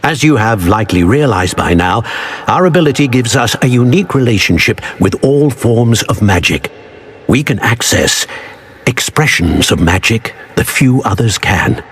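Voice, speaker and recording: A man playing a grave old wizard professor in a video game, speaking in a formal, measured manner. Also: mid-range voice, lively intonation, a bit bright, slight background noise, natural voice. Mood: solemn. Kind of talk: video game character acting.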